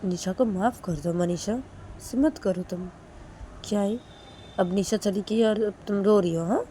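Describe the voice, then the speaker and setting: A woman's voice: mid-range voice, unhurried, dark tone, a little hiss or hum, lightly acted. A woman voicing a middle-aged mother who scolds and teases her daughter, ending lines with prodding questions. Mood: scolding. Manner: nagging, tags questions with "haan?".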